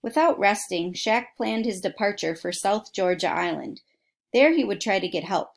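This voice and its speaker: A woman with a medium-pitched voice reads a true adventure story aloud for children. She sounds like a teacher, stressing the dramatic words and addressing her listeners directly. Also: lively intonation, moderate pace, vocal fry. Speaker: teacher reading a book aloud to students. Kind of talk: expressive read-aloud of a children's nonfiction story with asides. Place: at home.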